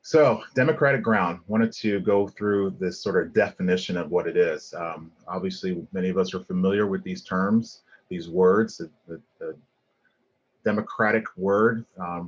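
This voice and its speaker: A man's voice: sits low, breathy edge, dull audio. A middle-aged man from Liberia, giving an earnest design lecture over slides with many ums and restarts. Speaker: guest lecturer, landscape architect.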